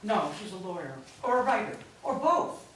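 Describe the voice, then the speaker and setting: A woman's voice: medium pitch, very animated, normal speed, fairly bright tone. An actress in a staged play reading, playing a harried, insistent older wife and mother. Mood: busy, explaining. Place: indoor live staged reading. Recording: echoey room from far off, faint noise.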